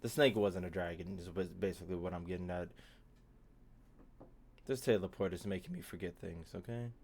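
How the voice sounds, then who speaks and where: A man's voice: low-pitched, brisk, a little mellow. A young man giving casual, rambling livestream gaming commentary, slangy and full of swearing. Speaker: game streamer.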